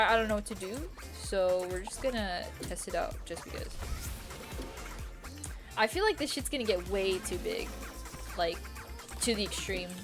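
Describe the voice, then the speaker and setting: A woman chats casually as she streams a game, with music playing faintly behind her. Her voice sits at an average pitch for a woman. She thinks out loud in a rambling, indecisive way, circling back over her own phrases. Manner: rambling, indecisive, repeats herself, mild swearing. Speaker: live streamer, host. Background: quiet music.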